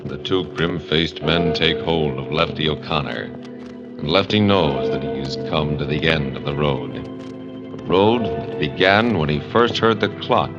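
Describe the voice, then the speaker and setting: A man's voice: rumbling low, measured pace. A man delivering solemn, dramatic scripted narration as a 1940s radio announcer. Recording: dull vintage radio with loud music.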